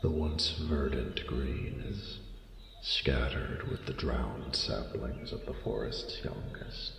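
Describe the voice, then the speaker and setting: A man voicing an ancient, noble male dragon in a romantic audio roleplay, speaking formally and solemnly with tenderness in a gravelly, breathy voice. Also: rumbling low, fairly bright tone, heavily acted. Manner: slow, stately, formal phrasing. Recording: reverberant, echoing, noisy ambient bed.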